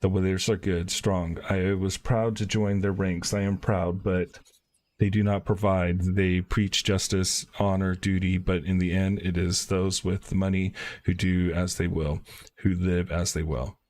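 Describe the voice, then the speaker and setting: A man reading out a guilt-ridden male soldier confessing to betrayal in a video game, in a slow, halting way. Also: low voice, level tone, darkish tone. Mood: remorseful. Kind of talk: reading game dialogue aloud on a stream.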